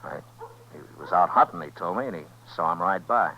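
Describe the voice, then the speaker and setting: A man playing a steady, courteous, laconic lawman in a 1950s radio Western. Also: deep voice, fairly quick, slight background noise. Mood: probing. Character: steady, courteous, laconic US Marshal in the Old West. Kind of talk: 1950s radio Western acting and first-person narration. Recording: muffled 1950s radio recording.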